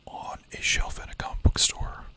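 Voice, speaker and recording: A young adult streamer whispering ASMR-style, chatting casually with chat, full of filler words and the odd swear. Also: moderate pace.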